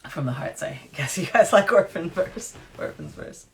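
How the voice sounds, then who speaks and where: A woman in her thirties with a low voice, an author reading her own novel aloud at home into a webcam, hushed and whispery when she reads, chatty with fillers when she talks. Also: wildly swooping pitch, normal speed. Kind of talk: storybook reading then casual chat. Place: dim room at home.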